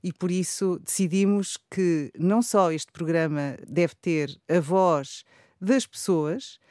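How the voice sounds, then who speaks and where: A woman's voice: fairly low pitch, slow pace, warm tone, no echo, studio quality. A woman researcher explaining a project on the radio, thoughtful and a little hesitant. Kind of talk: reflective radio talk.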